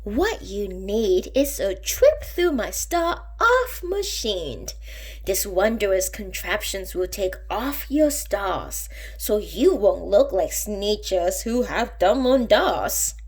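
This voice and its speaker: A woman reading a storybook, voicing a slick, upbeat male travelling salesman with a persuasive showman's pitch. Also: sing-song, slow pace, breathy edge, full character voice. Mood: smooth, persuasive.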